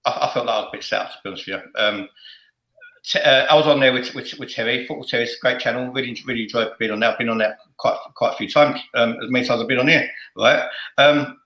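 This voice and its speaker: A man's voice: medium pitch, fast talker, sharp tone. A middle-aged man hosting a casual football fan chat, jokey and crude, often repeating words as he stumbles along. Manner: stammering repetitions, jokey, crude, sweary. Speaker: host of a football fan show. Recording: roomy, echoey sound.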